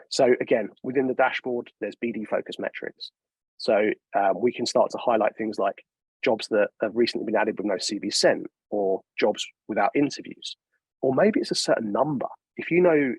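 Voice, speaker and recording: Male presenter, slightly rough-edged voice, dry close sound, informal, explanatory webinar delivery. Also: fairly low pitch, no echo, low-quality recording. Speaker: software company presenter.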